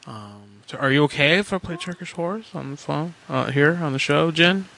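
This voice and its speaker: A man's voice: fairly high pitch, expressive, normal speed, vocal fry, sharp tone. A man hosting a casual show, swearing freely and laughing often as he chats about prank calls. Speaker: show host.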